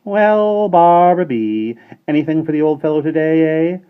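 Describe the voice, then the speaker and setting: A man putting on a cheeky storybook starling, shrill and very high, squawking loudly and pompously. It bosses everyone about. The recording is dull and lo-fi. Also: normal speed, clean tone, close to an everyday voice. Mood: wheedling. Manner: loud, pompous and bossy.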